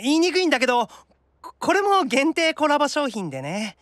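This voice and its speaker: A man playing an excitable, boyish otaku demon brother, animated and reactive, in a Japanese anime-style audio drama. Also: extremely high pitch, dry recording, studio quality, full character voice. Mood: sheepish. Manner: animated, reactive, fast-gushing fan talk.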